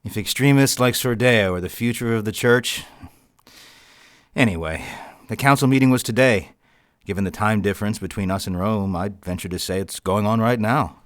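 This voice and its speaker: A grave, learned bishop played by a male actor in an audio drama. His voice sits fairly low and is recorded dry. He explains things in measured, formal phrases with a weary, dismissive edge. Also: no room sound, lightly acted. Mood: matter-of-fact.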